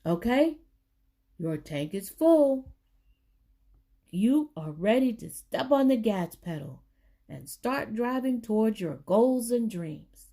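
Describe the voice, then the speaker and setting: A woman reading a children's book aloud, with deliberate, emphatic phrasing for young listeners. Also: a little high, very animated, dark tone. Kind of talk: storybook read-aloud for children.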